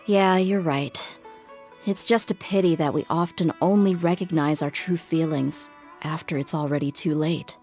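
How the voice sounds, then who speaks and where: A woman playing a tough but protective female desert mercenary in a video game, speaking in a frank, measured, reflective way. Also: low-pitched, steady tone, a little mellow. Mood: bitter, reflective. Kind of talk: dramatic video game voice acting. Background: music.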